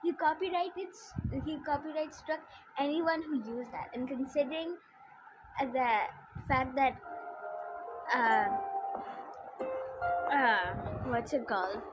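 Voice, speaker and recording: A woman's voice: high-pitched, takes it slowly, pauses often, poor audio. A woman chatting casually on a game livestream in a blunt, opinionated, slangy way. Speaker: streamer playing a game. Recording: music playing behind the voice. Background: game music.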